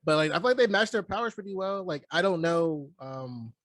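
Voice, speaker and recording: A man's voice: a little high, expressive, fairly quick, a bit bright, no echo. A man with an American accent co-hosting a casual movie review, speaking loosely with slang and swearing. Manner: slangy, profane. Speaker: podcast co-host reviewing films.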